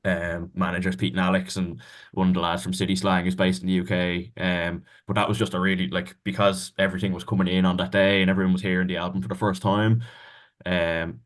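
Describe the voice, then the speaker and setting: Guest, male musician, very low voice; easygoing, halting answers. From Ireland. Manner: relaxed, hesitant with many ums and restarts. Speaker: band member, guest. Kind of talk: casual interview answers.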